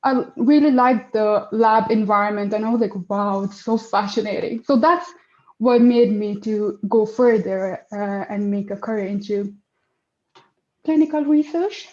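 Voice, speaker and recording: A woman's voice: mid-range voice, measured pace, a little mellow, reverberant, lo-fi sound. A woman in her twenties, originally from Afghanistan, answering interview questions in a hesitant way with many fillers and restarts. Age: 20s. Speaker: project manager, interview guest.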